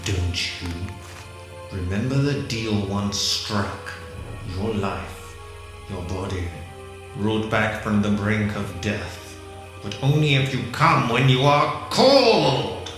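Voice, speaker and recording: Cavernous echo, man voicing menacing unearthly entity, low slow voice, ominous and commanding, music underneath, audio drama. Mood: threatening. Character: menacing otherworldly manifesting male being, controlling and cold.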